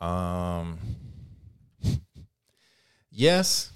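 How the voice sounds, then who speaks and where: A man's voice: sits low, sing-song, extremely slow, clean tone. A man in his forties from Puerto Rico with a Puerto Rican accent, speaking as a guest in a casual interview, candid and reflective, swearing now and then. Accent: Puerto Rican Spanish. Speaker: guest, firearms instructor.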